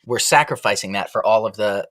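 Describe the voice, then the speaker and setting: A man's voice: lowish voice, fairly quick, a bit bright, dry recording. Thoughtful man in a dry, close recording. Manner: thoughtful, philosophical, searching phrasing. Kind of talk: reflective panel discussion.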